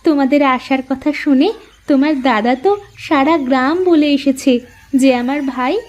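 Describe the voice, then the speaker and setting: A woman's voice: high-pitched, steady tone, normal speed, a little mellow, close to an everyday voice. A woman voicing a kindly, polite village housewife in a cartoon story, with a homely manner.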